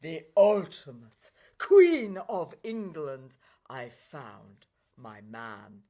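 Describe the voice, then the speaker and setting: A woman acting a scheming stepmother in a radio play, fawning and seductive as she draws out her flattery. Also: deep voice, very slow, heavily acted. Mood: seductive gushing.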